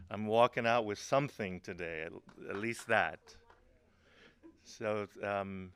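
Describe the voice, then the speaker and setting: A middle-aged man from Honduras giving a webinar presentation as a government agency speaker, talking in a halting way with many fillers and restarts and light humorous asides. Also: lowish voice, fairly bright tone, smooth, no room sound.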